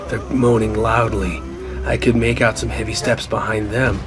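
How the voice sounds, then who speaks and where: A man's voice: lowish voice, fairly even intonation, moderate pace. A man narrating a first-person horror story as a scripted radio play. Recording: prominent music under the voice.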